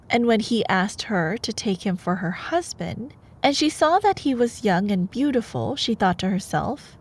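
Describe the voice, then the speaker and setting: A woman reading a bedtime fairytale in a playful, expressive, wryly sarcastic way, as in a girlfriend role-play. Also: mid-range voice. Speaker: ASMR storyteller playing a girlfriend.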